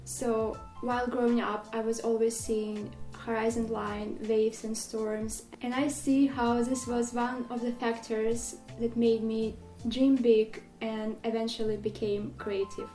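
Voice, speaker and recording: A woman in her twenties from Ukraine with a Russian accent, speaking English as a second language in a casual vlog about herself, unhurried and plain, with slightly non-native phrasing. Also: on the high side, flat delivery, warm tone, roomy sound, soft background music, rough recording. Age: 20s. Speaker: artist vlogger. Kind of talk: casual facts-about-me talk.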